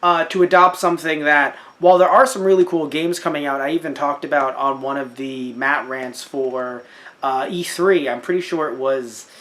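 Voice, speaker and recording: A young man co-hosting a casual podcast, animated and jokey, slipping into silly voices. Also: a little high. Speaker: podcast co-host. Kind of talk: casual chat.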